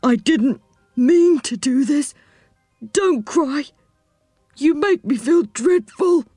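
Woman putting on a meek, high, small-voiced storybook man. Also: expressive, takes it slowly, mellow, no room sound, studio quality, faint music behind. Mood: remorseful. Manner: meek, contrite. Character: apologetic, soft-hearted, clumsy man from a fairy storybook.

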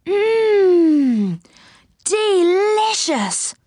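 A woman voicing an excitable young boy in a children's storybook, eager and pleading in manner. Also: very high voice, drawn-out words, dry recording, studio quality, voice pushed up, exaggerated voice. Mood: delighted.

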